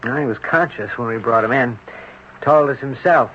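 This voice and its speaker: An actor playing a brisk, wisecracking male emergency doctor, offhand and slangy. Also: mid-range voice, a little hiss or hum, lightly acted. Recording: muffled vintage radio broadcast.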